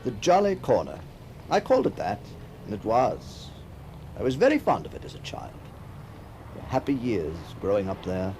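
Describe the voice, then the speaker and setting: A middle-aged gentleman back from long years abroad in a radio drama. His gravelly voice swings up and down as he muses with wry hesitation. A faint noise sits behind him. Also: on the low side, huge pitch swings, normal speed. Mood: wistful. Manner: musing, hesitant, wry, with small chuckles. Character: middle-aged wistful man returned from decades abroad.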